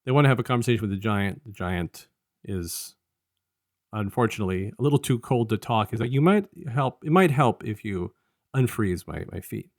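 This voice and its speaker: Low-voiced man recapping a story casually. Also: animated, normal speed, a little mellow, dry recording, studio quality. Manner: rambling, acts out dialogue in colloquial paraphrase. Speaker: podcast co-host reviewing an audio drama.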